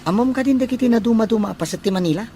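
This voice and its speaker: A man's voice: high-pitched, very fast, mellow, soft background music. A man playing a young student from the provinces in an audio drama. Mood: chatty, friendly. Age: young adult. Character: young provincial male student new to the city. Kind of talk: acted audio drama dialogue.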